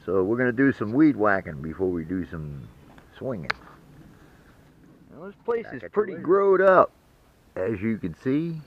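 A folksy man with a drawl jokes his way along a rough woodland trail, his pitch rising and falling a lot. His voice comes through a muffled camera mic. A loud engine rumble sits under his talk. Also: sing-song, unhurried, pauses often. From West Virginia. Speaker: metal detecting vlogger. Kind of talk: casual outdoor narration. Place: outdoors in woods and in a truck on a rough trail. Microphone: action camera.

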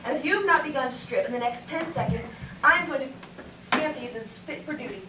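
Echoey noisy room, woman acting curt female army examiner, high voice, commanding, bureaucratic, stage comedy. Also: animated, a little fast. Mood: stern, commanding. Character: stern, officious female army examining sergeant. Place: classroom used as a small stage. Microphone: far camera microphone. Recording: distant, echoey, noisy VHS room recording.

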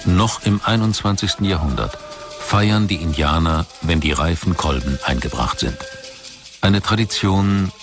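A man delivering scripted documentary narration in a measured, formal storytelling manner. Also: low-pitched. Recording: music bed under voice. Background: background music.

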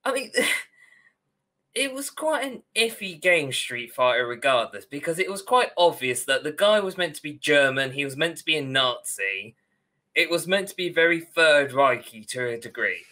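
A man's voice: fairly high pitch, big pitch swings, moderate pace, sharp tone. A young man co-hosting a podcast over a video call, giving a wry, opinionated, casual critique. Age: young adult. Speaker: podcast co-host. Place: small room at home, on video call.

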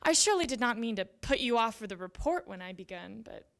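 A young woman reads old letters to a seated room through a handheld microphone. Her voice sits a little high and carries plenty of creak. She phrases each sentence with deliberate, measured care, as a dramatic literary reading. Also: very animated. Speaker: reader at a literary event. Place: library event room with seated audience. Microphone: handheld microphone at a lectern.

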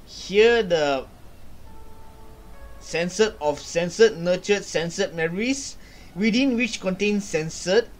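A young man giving live commentary on a game he plays in a small room, halting as he thinks aloud. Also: sits high, faint music behind. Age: young adult. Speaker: game streamer.

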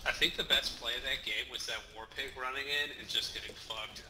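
A man gaming with friends in casual team voice chat, excitable and swearing freely as he makes quick callouts. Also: medium pitch, rough recording. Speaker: gamer. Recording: voice chat over loud game sound. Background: loud game music and effects.

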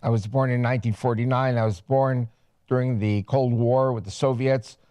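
A man in his seventies, a guest in a studio interview, talking at length in a rambling way and halting often on fillers. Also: mid-range voice, steady tone, warm tone, clear, resonant tone, no room sound. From United States. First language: Spanish. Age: 70s.

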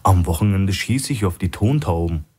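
A man's voice: deep voice, lively intonation, moderate pace, a little mellow, breathy edge. A man voicing a wary, evasive male hostage with a guarded, questioning manner in an audiobook.

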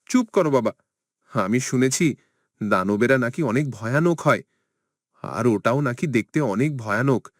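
Guy playing a worried poor cartoon dad, fatalistic, lamenting and scolding, medium pitch, close dry recording. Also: animated, unhurried, long pauses, no echo. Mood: fearful, scolding. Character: fearful, poor village fisherman father, fatalistic and stern.

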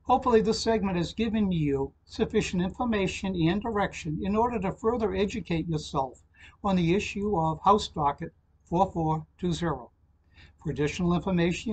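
Older man, husky high voice, formal address. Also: low-quality recording. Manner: formal, measured, reads out numbers and web addresses slowly. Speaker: channel host giving a legislative alert. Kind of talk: prepared informational address to camera. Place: small office indoors.

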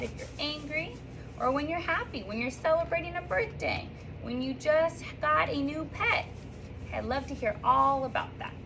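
A woman's voice: high-pitched, creaky, noisy background. A woman in her thirties, a third grade teacher, recording a cheerful sing-song welcome and picture book read-aloud for her class from a classroom reading corner, filmed from a few feet away. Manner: upbeat and sing-song, reading with lively rises and slips. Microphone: phone or camera on a stand a few feet away.

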